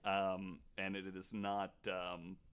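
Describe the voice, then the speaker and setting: A man's voice: deep voice, completely flat delivery, takes it slowly, clear, resonant tone, highs cut off. A man in casual podcast conversation, retelling researched stories with a joking, sarcastic, sometimes sweary manner. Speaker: podcast storyteller on a panel.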